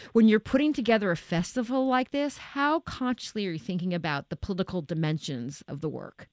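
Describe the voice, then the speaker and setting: A woman hosting a radio interview, delivering prepared introductions and questions in a measured, articulate way. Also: fairly low pitch, no echo, studio quality.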